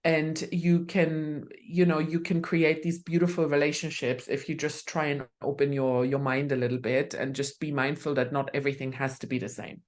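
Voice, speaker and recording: Woman, low voice, hesitant podcast guest. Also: level tone, moderate pace, few pauses. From Germany. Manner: hesitant, many fillers and restarts. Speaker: guest expert. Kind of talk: conversational podcast interview.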